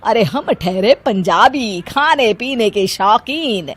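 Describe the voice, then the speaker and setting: A woman playing a middle-aged, talkative Punjabi mother-in-law who scolds and complains theatrically in an animated story. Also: fairly high pitch, slow, husky, heavily acted. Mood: nagging. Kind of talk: animated Hindi story dialogue.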